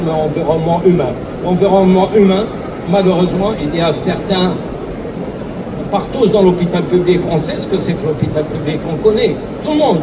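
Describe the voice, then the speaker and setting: A man speaking earnestly on a public panel, often restarting and repeating words. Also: sits high. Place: event hall. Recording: distant mic with loud background noise.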